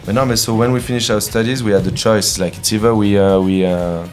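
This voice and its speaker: A young man talks casually in an interview. His voice sits very low, and faint music plays behind him. He comes across as a musician chatting about his work. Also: steady tone, brisk, darkish tone. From south of France. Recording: music playing behind the talk.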